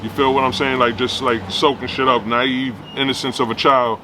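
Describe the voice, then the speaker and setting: A man's voice: medium pitch, flat delivery, normal speed, background noise. A man from Trinidad, a guest tattoo artist, telling his story in casual chat, laid back and peppering his talk with "you know what I mean". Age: adult with own family.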